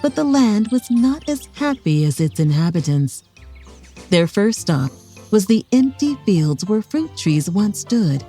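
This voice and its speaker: A woman's voice: medium pitch, big pitch swings, unhurried, mellow, soft background music. A woman reading a scripted children's story aloud as narrator, expressively, for young children.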